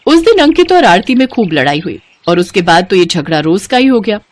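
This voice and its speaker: A woman tells a scripted cartoon story. Her narration is expressive, with an animated, wide-moving pitch around a middle register. The recording is dry, with no room sound. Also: brisk, no room sound.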